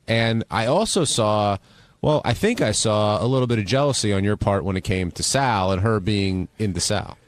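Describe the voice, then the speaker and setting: A man's voice: fairly low pitch, lively intonation, normal speed. A man hosting a radio discussion, putting casual interview questions to the people in the studio.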